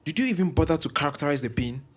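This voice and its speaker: A man's voice: fairly high pitch, expressive, racing. Man playing a pompous, scolding Ghanaian professor. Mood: scornful, exasperated. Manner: pompous, grandiose wordy diction, scolding. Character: arrogant, scornful senior medical professor, a man. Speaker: voice actor in an audio drama. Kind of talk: scripted audio drama acting.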